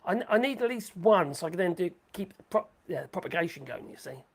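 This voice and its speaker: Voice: medium pitch, animated, fast talker. A livestream host rambling through sarcastic commentary, saying the voice is going. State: losing voice.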